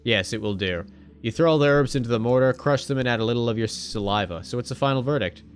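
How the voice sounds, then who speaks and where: Man, streamer, reads game text aloud, wry asides, middle pitch, faint music. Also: fast. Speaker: gaming streamer. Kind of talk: reading a visual novel aloud with commentary.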